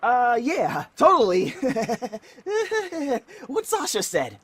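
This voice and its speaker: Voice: mid-range voice, huge pitch swings, drawn-out words, fairly bright tone, full character voice. A performer playing a nervous, easygoing youngster in an audio drama, hesitant and awkwardly jokey. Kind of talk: amateur audio drama acting.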